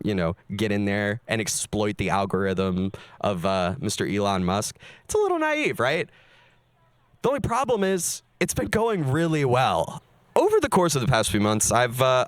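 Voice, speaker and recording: A man's voice: mid-range voice, a bit bright, no echo. A young man, a streamer and musician, narrating his own story in a candid, wry, self-mocking way. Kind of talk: personal narration to camera.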